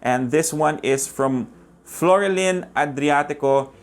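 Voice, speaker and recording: A man reviewing phones in a relaxed, conversational way, talking straight to his viewers. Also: on the high side, takes it slowly. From Philippines. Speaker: tech reviewer. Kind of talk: casual scripted tech review.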